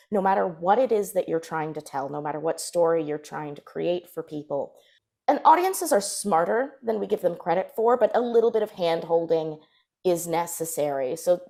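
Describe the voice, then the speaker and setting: A woman with a slightly low-pitched voice speaks on a discussion panel. She explains her points in a thoughtful, conversational way, laying them out step by step. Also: darkish tone.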